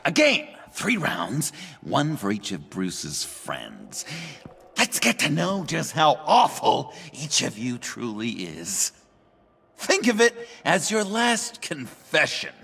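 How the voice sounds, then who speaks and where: An actor playing a manic, gleefully menacing clown villain in a video game, theatrical, taunting and erratic. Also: male voice, fairly high pitch, wildly swooping pitch, takes it slowly, creaky, a little hiss or hum, full character voice. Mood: sinister glee. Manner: theatrical, taunting, erratic swings from hush to shouting. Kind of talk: dramatic video game acting. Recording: dramatic music under the voice.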